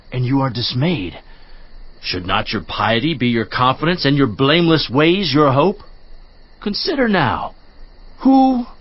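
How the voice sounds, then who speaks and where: A man playing a stern, admonishing counselor from scripture, speaking in an emphatic, rhetorical preaching manner with a husky voice. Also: on the high side, full character voice. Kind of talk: dramatized scripture reading, theatrical. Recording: slightly muffled audio.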